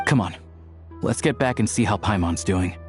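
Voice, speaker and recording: An actor playing a level-headed, earnest young man in a video game, explaining things in a measured way. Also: lowish voice, expressive, darkish tone, soft background music, close to an everyday voice. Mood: soft, reflective.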